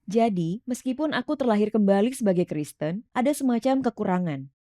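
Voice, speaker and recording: A woman's voice: mid-range voice, lively intonation, brisk, mellow, no echo, studio quality. A middle-aged woman from East London, telling her life story in an earnest, reflective interview. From East London, England. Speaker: interview guest. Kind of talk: personal testimony in an interview.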